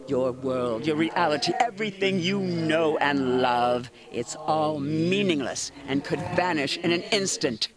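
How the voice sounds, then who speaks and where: A man's voice: a little high, unhurried, few pauses, a crowd in the background, close to an everyday voice. A man voicing an ancient, haughty eldritch horror, a pompous and menacing villain in a video game. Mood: grandiose menace. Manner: pompous, theatrical, taunting. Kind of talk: dramatic video game voice acting.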